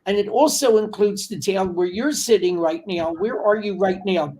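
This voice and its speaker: A man's voice: sits high, moderate pace, mellow, poor audio. Older man hosting a casual interview. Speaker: podcast host. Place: home study with bookshelves. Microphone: video call.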